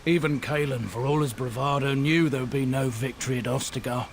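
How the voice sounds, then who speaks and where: An actor playing a wounded, guilt-ridden royal guard turned deserter in a fantasy video game, speaking in a weary, halting, mournful way. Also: male voice, mid-range voice, natural voice. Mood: haunted, regretful. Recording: game audio with clear background noise.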